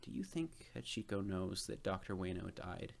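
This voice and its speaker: A man's voice: low-pitched, steady tone, normal speed, vocal fry. A man voicing an earnest, worried young boy in a storybook read aloud. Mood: concerned.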